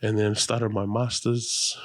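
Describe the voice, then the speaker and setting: A man's voice: on the low side, flat delivery, slow, no room sound. A man, chatting casually as a podcast guest in a laid-back, slangy way. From New Zealand. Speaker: podcast guest, radio host. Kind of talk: casual chat.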